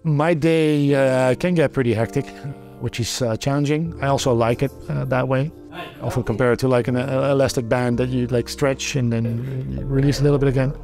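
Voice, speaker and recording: A man's voice: mid-range voice, fairly even intonation, normal speed, creaky, darkish tone, soft background music. A middle-aged man from the Netherlands with a Dutch accent, speaking as a company founder in a documentary interview, thoughtful and often restarting his sentences. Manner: thoughtful, many restarts and fillers.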